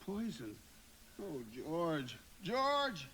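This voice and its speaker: An actor playing an angry, grieving, drunken druggist, harsh and accusing, in a live radio drama. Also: male voice, on the high side, big pitch swings, very slow, pushed and bright, slight background noise, full character voice, low-quality recording. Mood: shocked remorse. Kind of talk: live radio play acting.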